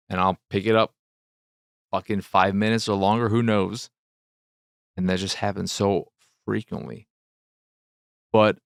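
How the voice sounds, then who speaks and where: A man's voice: fairly low pitch, gravelly, clear, resonant tone, no echo, clean studio sound. A man hosting a game review show, talking off the cuff in a rambling, casual way, repeating phrases for emphasis and sometimes swearing.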